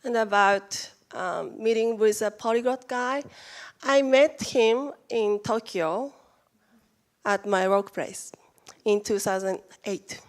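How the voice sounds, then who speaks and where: Japanese lady giving a talk, slow and halting, stops and restarts a lot. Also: medium pitch. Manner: halting, careful non-native phrasing with restarts. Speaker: conference speaker. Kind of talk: presentation talk. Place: conference room.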